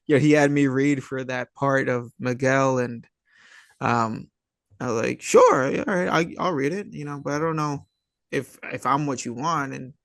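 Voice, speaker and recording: Halting, laid-back American man in his thirties. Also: medium pitch, no echo, clean studio sound. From Long Island, New York, USA. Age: 30s. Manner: halting and searching, laid-back slangy, often saying "man" and "you know". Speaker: guest, actor. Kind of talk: casual interview chat.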